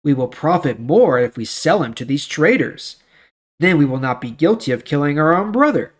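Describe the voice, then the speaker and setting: A man's voice: a little high, animated, moderate pace, lightly acted. A man voicing scheming, jealous brothers in a Bible story, cold and scornful. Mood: calculating.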